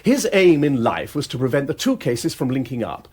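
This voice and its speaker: A man's voice: medium pitch, sing-song, moderate pace, a little mellow. A man playing a nimble-witted aristocratic detective in a British radio drama, with an upper-class English accent and an airy, wordy, self-deprecating manner. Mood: brisk, assured. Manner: airy, wordy, drily teasing.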